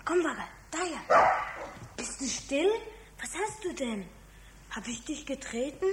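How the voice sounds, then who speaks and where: A woman's voice: sits high, lively intonation, unhurried, heavy background noise. A woman playing an innocent, greedy little schoolboy with a Bavarian accent in a children's radio play. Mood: sneaky, coaxing. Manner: childlike, pleading, protesting.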